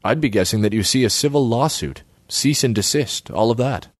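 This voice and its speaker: A man's voice: mid-range voice, fairly quick, dry recording, clean studio sound, pitched above the performer's own voice, lightly acted. A man voicing a pompous, airy male politician who talks in wordy, self-righteous phrases in a story reading. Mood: lecturing, airy.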